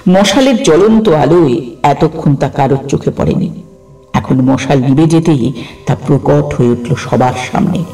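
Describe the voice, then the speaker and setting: A male storyteller narrates horror in a fairly high, gravelly voice with suspenseful, theatrical phrasing. The sound is roomy and set back, with music underneath. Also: dark tone, far from the mic. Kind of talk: dramatic scripted horror narration.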